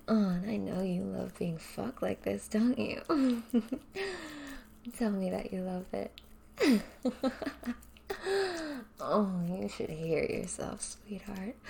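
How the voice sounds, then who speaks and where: A woman speaking straight to the listener in a soft, breathy, often whispered voice, dominant and teasing in an intimate audio roleplay. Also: mid-range voice, slight background noise.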